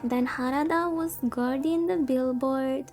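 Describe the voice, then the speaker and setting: A woman's voice: high voice, a little slow, a little mellow, soft background music. A woman VTuber livestreaming a visual novel, chatting casually, rambling and reacting aloud as she reads along. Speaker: VTuber streamer.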